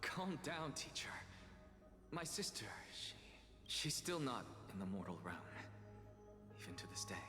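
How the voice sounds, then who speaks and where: An actor playing a defiant, arrogant young male scholar in a video game, with dramatic, deliberate delivery. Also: mid-range voice, big pitch swings. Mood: pained. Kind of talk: theatrical video game voice acting. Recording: long reverb, game music behind.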